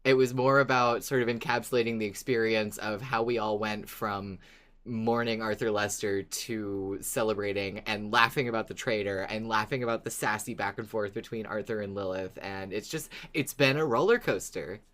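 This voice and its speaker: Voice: mid-range voice, fairly even intonation, normal speed, fairly bright tone. A podcast co-host chatting casually and enthusiastically about a fan favourite, playful and given to casual swearing.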